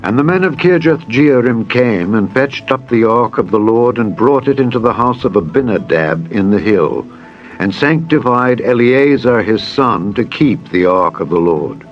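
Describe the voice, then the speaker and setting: Low male bible narrator, solemn n measured, dramatic scripture reading, faint music under it, slightly muffled audio.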